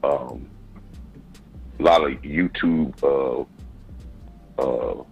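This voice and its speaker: A man calling into a sports show, chatting casually in a halting way and repeating words as he goes. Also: a bass voice, gravelly, breathy edge, faint music behind, low-quality recording. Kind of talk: casual chat.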